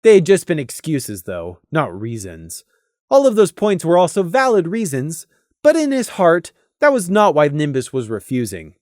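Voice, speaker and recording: A man reading a scripted story as a narrator, deliberate and expressive, with dramatic emphasis. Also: on the high side, no room sound, studio quality. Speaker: audiobook narrator.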